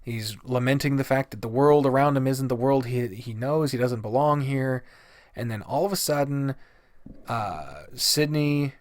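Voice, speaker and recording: A man's voice: mid-range voice, dry recording, clean studio sound. A man giving a casual, opinionated review rant in a blunt, exasperated, swear-heavy way. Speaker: video host.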